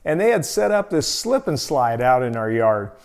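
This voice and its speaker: An American man telling a personal story in a casual, folksy, expressive and conversational way as a storytelling host. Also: medium pitch, moderate pace, smooth.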